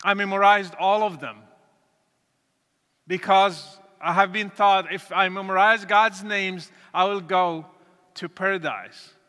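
Man, high bright tone, measured preaching testimony. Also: steady tone. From Morocco. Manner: earnest, deliberate, with pauses and restarts. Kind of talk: testimony talk to an audience. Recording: reverberant hall.

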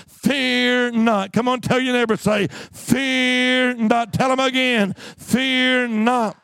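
A man preaching a fervent sermon, exhorting his listeners emphatically, telling the story dramatically and repeating phrases with drawn-out words. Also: very high-pitched, fairly bright tone, no echo.

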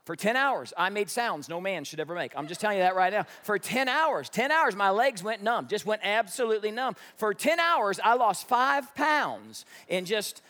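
A man's voice: high-pitched, sing-song, normal speed, hardly any pauses, sharp tone. An American man in his fifties preaching a conversational sermon, folksy and joking, repeating phrases for emphasis. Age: 50s. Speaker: pastor. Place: church before an audience.

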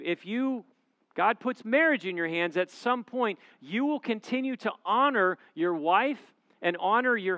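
A man's voice: sits high, a bit bright, no echo. A male pastor preaching a sermon to a congregation, with an emphatic, repetitive preaching cadence. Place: church auditorium before a live congregation.

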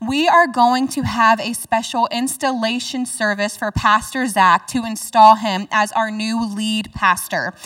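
A woman's voice: on the high side, a bit bright, distant mic. A woman giving upbeat church announcements to a congregation and then leading a prayer over the offering. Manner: upbeat, slows to spell out numbers. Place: church sanctuary.

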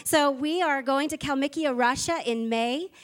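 A woman's voice: high voice, normal speed. A woman leading a ministry school, giving a fervent church mission appeal that builds to rousing declarations.

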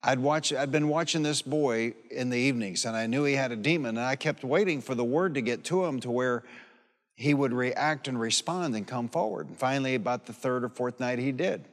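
A man in his late sixties delivers a sermon as a pastor. His voice sits at a medium pitch and his manner is folksy and emphatic, with repeated phrases. The long reverb of a large hall surrounds his words. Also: fairly quick. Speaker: pastor preaching. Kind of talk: sermon with personal anecdotes and calls for amen. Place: large hall or church, preaching to a congregation.

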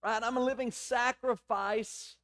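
Hoarse middle-aged pastor preaching. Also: male voice, extremely high pitch, fairly even intonation, a little slow, fairly bright tone. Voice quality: hoarse, raspy voice. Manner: conversational storytelling with quoted dialogue. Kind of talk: sermon.

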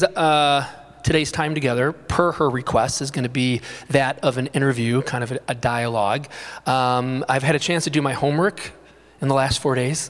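A man's voice: fairly high pitch, slight background noise. A man hosting a live interview before a small community group, friendly, informal and chatty. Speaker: host and interviewer. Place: small community gathering room. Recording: long reverb in a hall.

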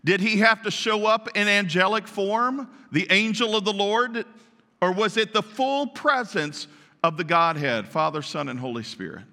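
A man preaching a sermon to his congregation, naturally loud and emphatic, often asking them questions. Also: high-pitched, moderate pace. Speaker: pastor. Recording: long reverb of a large hall.

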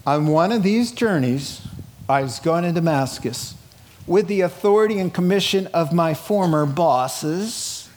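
Middle aged guy preacher w a pretty high voice, chatty sermon, tells it like a story and acts out the quotes. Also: measured pace. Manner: animated storyteller, acting out quoted dialogue; conversational, informal. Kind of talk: sermon, reading scripture and retelling it in colloquial, dramatized asides.

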